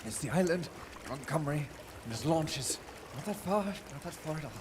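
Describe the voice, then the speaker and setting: Guy playing weary castaway gentleman, earnest and halting, really loud water noise around him. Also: fairly high pitch, expressive, natural voice. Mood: exhausted, struggling. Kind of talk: theatrical live audio drama.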